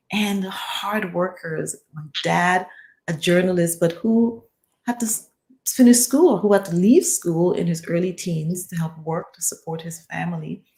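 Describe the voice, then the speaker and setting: A woman from the Caribbean, born in Guyana and raised in Barbados, a guest author telling her story in an interview with an earnest, measured manner. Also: lowish voice, a little mellow. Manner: earnest and measured, builds lists of phrases.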